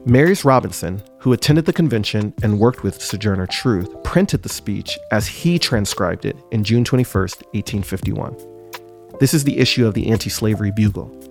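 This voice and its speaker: A man hosting a podcast, reading a scripted history segment in a measured way. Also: on the low side, animated, darkish tone, soft background music. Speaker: podcast host.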